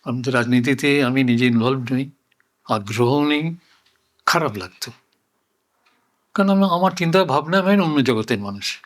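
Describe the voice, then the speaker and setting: An older man reflecting on his life in conversation, speaking deliberately and repeating phrases for emphasis. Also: medium pitch. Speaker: interview guest. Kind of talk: reflective oral-history conversation.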